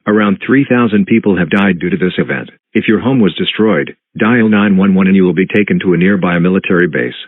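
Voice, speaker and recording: A man playing a solemn, official emergency alert announcer, reading formal, measured scripted notices. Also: low voice, no echo. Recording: muffled lo-fi broadcast audio.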